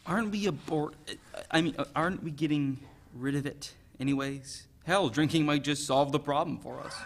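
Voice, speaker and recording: A man's voice: fairly high pitch, moderate pace. A man playing a clueless, flippant teenage boyfriend who stammers and jokes in a dramatic reading of a play. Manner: stammering, joking.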